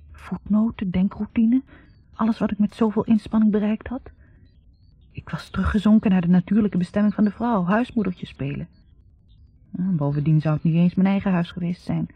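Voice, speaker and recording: Young intellectual woman, very breathy husky voice, bitter and conflicted, halting confessions, 1960s radio play, muffled recording. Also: lowish voice, no room sound. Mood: bitter, torn. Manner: halting, confessional, repeating words. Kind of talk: 1960s radio drama acting.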